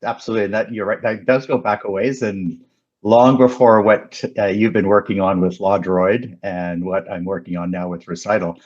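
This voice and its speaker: A man in his fifties with a very low voice whose first language is French. He talks in a measured, thoughtful way, pausing on ums and restarting his sentences. The sound is muffled and lo-fi. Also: steady tone, a little mellow. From Canada. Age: 50s. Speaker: guest, company founder. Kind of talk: casual interview chat.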